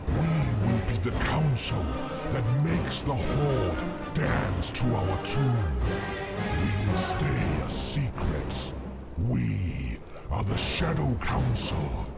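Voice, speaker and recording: A sinister, power-hungry orc warlock from a fantasy game. He speaks extremely slowly and swings widely in pitch, with a heavily gravelled, menacing grandeur. Loud music plays behind him. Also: very animated, full character voice. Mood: menacing, grandiose. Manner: menacing, grandiose, slow and deliberate. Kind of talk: fantasy game cutscene acting.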